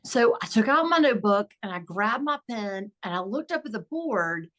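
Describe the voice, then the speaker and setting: A woman guest on a podcast interview over a video call, speaking in a warm, reflective way about her own life. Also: mid-range voice, big pitch swings, moderate pace. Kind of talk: personal storytelling in interview. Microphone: video call recording.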